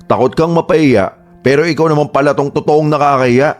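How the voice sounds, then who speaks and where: Man playing a young adult son, emotional, pleading, high voice, faint music behind. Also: fairly quick, natural voice. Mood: accusing. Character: hurt young adult son standing up to his mother. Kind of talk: Tagalog radio drama acting.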